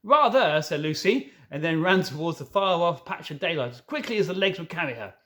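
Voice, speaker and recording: A man narrating a children's story as a reader, somewhat haltingly, correcting himself now and then. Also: on the high side, lively intonation, a bit bright. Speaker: audiobook reader.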